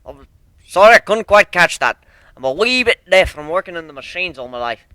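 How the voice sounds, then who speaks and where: A man's voice: high voice, very animated, fairly quick, dry recording, studio quality, pitched above the performer's own voice, full character voice. Man doing a folksy, drawling old worker. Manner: drawling, loud and homespun. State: a bit hard of hearing. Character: folksy old male machine worker, kindly.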